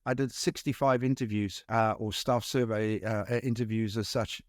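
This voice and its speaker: A middle-aged man with a South African accent, answering interview questions as a business consultant, halting with many fillers and restarts. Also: on the low side, fairly even intonation, no room sound, clean studio sound.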